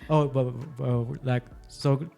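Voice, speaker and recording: Man, podcast host, mellow relaxed tone, medium pitch, informal chat, faint music behind. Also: slow pace, clean tone. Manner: informal, conversational. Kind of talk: casual interview chat.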